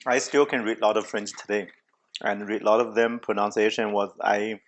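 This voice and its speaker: Halting man chatting casually. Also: fairly low pitch, fairly even intonation. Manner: halting, restarts often, frequent "yeah, yeah". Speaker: podcast host. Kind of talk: casual conversation.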